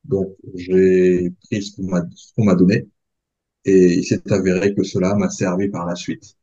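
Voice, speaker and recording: Homme posé à la voix grave et sombre. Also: male voice, low-pitched, flat delivery, dark tone, highs cut off. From Republic of the Congo. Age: 40s. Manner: measured, thoughtful, with frequent hesitations. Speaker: guest. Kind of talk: reflective interview talk.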